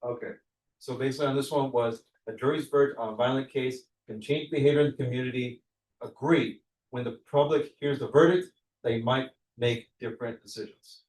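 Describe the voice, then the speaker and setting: A man working as a prosecutor, questioning a jury panel in a quick, conversational way. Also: mid-range voice, darkish tone. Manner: fast, conversational, explains with examples. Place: large courtroom. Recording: echoey courtroom from far off.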